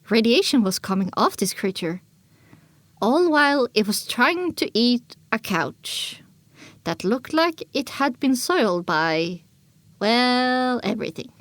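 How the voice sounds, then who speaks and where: Woman narrating, fairly high, dry sound. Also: no room sound. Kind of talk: scripted first-person story narration.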